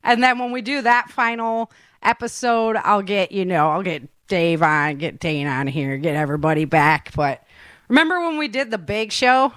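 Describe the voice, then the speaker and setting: A woman's voice: medium pitch, moderate pace, fairly bright tone, clean tone, no echo, studio quality. Casual, giggly woman podcast host. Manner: giggly, informal, rambling. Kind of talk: casual chat.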